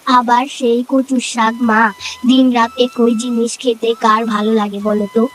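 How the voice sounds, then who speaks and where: A woman's voice: a little high, steady tone, normal speed, a little hiss or hum, close to an everyday voice. A woman voicing a wistful, affectionate young daughter of a poor family in a cartoon story, childlike and plaintive. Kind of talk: dramatized cartoon story acting.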